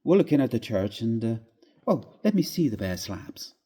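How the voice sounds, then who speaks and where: Irish doctor played by a male reader, young, hearty and scoffing, emphatic storybook character with a soft-toned voice. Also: mid-range voice, sing-song, moderate pace, breathy edge. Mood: teasing, brisk. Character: raw-boned, brusque, jovial young Irish doctor, male.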